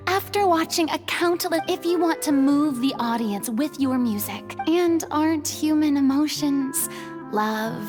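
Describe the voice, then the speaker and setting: High-voiced, theatrical proud young stage star. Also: female voice, unhurried, long reverb tail, music in the background. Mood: haughty, amused. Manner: theatrical, expressive. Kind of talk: video game voice acting.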